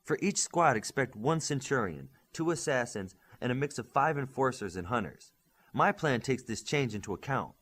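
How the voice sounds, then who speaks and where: A man's voice: mid-range voice, animated, moderate pace, fairly bright tone. A man voicing a young, earnest superhero squad leader in an audiobook, giving orders in an emphatic briefing style. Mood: firm, instructive. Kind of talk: audiobook character dialogue.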